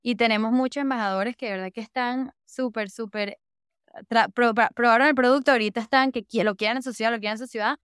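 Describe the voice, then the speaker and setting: Smooth-voiced enthusiastic Venezuelan woman. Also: on the high side, steady tone, fast, fairly bright tone, no echo, studio quality. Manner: enthusiastic, repeats "de verdad que" and "súper". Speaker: podcast guest, user researcher. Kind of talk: casual interview chat.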